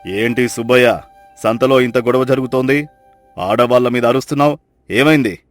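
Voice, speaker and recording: A man's voice: medium pitch, normal speed, faint music behind, close to an everyday voice. A man acting a stern, fair village landlord in a moral story, speaking with grave authority. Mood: stern questioning. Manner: grave, commanding.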